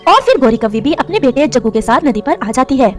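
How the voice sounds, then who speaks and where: High-pitched, quick woman story narrator. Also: very animated, faint music behind. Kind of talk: scripted dramatic story narration.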